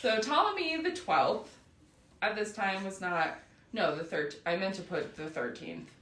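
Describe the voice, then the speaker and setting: A woman's voice: medium pitch, sing-song, unhurried, a bit bright. A young woman hosting a podcast, telling history in a casual, slangy, irreverent way. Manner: irreverent, giggly, sweary. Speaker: podcast host. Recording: roomy, echoey sound.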